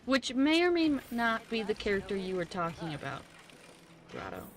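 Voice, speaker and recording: A woman's voice: mid-range voice, wildly swooping pitch, moderate pace. A woman giving casual, chatty livestream commentary while playing a story game, informal and often interrupting herself. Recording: game music behind the voice. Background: game music and character voices.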